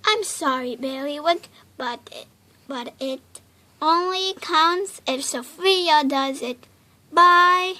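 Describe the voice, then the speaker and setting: A woman's voice: high-pitched, slow pace, sharp tone, voice pushed up, exaggerated voice. A woman voicing a kindly, patient female troop leader in a children's storybook, speaking instructively. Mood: patient explaining.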